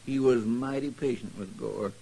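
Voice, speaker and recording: Creaky, folksy frontier sidekick. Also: male voice, mid-range voice, normal speed, warm tone. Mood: remorseful. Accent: rural American. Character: folksy, good-hearted frontier deputy sidekick. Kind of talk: 1950s radio western acting. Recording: slightly noisy old radio recording.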